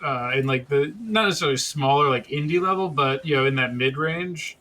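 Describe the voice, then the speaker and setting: A man's voice: fairly high pitch, brisk, sharp tone. A man co-hosting a podcast, giving his opinions in casual discussion. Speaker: podcast co-host.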